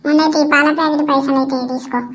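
A wily old village grandmother acted by a woman from a comedy cartoon, high-pitched and rapid, with little rise and fall. She wheedles and complains evasively in a Telangana Telugu accent. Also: little pitch movement, a little hiss or hum, lightly acted. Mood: placating. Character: wily, pleading elderly village grandmother buying on credit.

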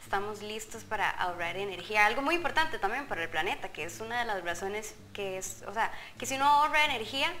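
A woman's voice: mid-range voice, expressive, fast, few pauses, bright, forceful tone. A young woman hosting a TV studio interview, chatty and prompting, often finishing the guest's sentences. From Central America. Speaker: TV host. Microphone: lapel.

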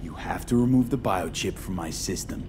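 A man acts out a young streetwise mercenary from a video game, with a low voice. He is terse, blunt and skeptical, heard over clear ambient noise. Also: normal speed, lightly acted. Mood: impatient, demanding.

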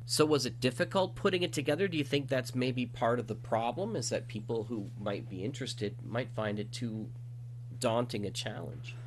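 A man's voice: mid-range voice, moderate pace. A man hosting a podcast, putting questions to a guest in a casual, conversational way. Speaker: podcast host, interviewer. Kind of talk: casual interview. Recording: faint background noise and hum.

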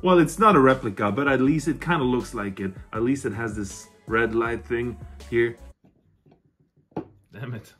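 A man in his thirties speaking casually and excitedly to camera like an informal vlogger, with some swearing. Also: medium pitch, moderate pace, soft background music. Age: 30s. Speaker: vlogger, horror collector. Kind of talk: casual show-and-tell review to camera. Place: small cluttered room indoors.

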